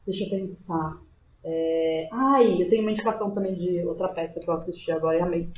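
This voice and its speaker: A woman's voice: lowish voice, a little fast, low-quality recording. Effusive Brazilian woman chatting casually. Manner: colloquial, effusive, emphatic. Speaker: podcast guest, playwright and educator. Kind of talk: casual conversational interview.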